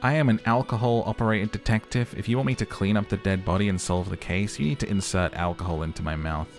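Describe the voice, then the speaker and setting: A man voicing a desperate, gruff alcoholic police detective from a video game. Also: lowish voice, fairly even intonation, fast, faint music behind, lightly acted. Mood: demanding, brash. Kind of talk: role-played video game dialogue.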